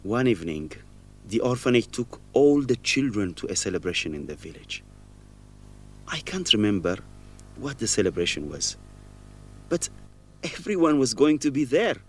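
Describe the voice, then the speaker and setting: A man's voice: medium pitch, big pitch swings, normal speed, pauses often. A man acting a troubled young student raised in an orphanage, emotional and confessional, in an educational radio drama. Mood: sorrowful, reflective.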